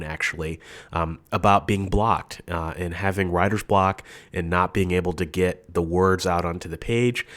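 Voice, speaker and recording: A man hosting his podcast while sick with a cold, sounding froggy and nasal, chatting casually and self-deprecatingly. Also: low-pitched. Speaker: podcast host. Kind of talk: casual solo podcast talk.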